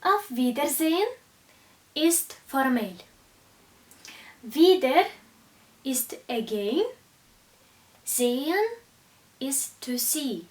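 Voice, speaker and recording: Slow high-voiced teacher. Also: female voice, lively intonation, darkish tone, distant mic. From Russia. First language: Russian. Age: 20s. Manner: slow, carefully articulated teaching delivery. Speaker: German teacher. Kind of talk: beginner language lesson.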